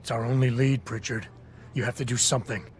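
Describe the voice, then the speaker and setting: An actor playing a tough, unyielding video game hero who talks in a terse, controlled, confrontational way. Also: male voice, mid-range voice, steady tone, fairly quick, natural voice. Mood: urgent. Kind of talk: dramatic video game voice acting.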